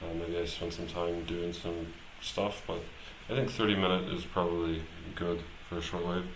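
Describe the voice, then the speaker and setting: A man's voice: sits low, little pitch movement, moderate pace. A man talking casually and unscripted on a live stream, hesitant and rambling as he thinks aloud. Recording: echoey room, clear background noise.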